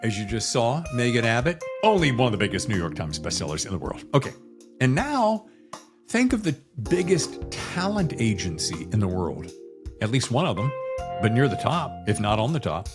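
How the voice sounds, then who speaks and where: A man's voice: mid-range voice, very animated, moderate pace, over music. A man hosting a podcast in a casual, chatty style, with a folksy, effusive showman's way of talking. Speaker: podcast host.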